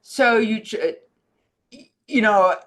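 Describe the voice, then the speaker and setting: A woman's voice: fairly high pitch, slow. A woman co-hosting a casual film discussion, giving opinions in loose, trailing phrases. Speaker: co-host, film foundation communications director.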